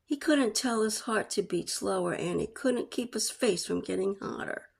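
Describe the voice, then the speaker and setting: A woman's voice: medium pitch, moderate pace, gravelly, breathy edge. A woman reading a story aloud as a storyteller, in narration style.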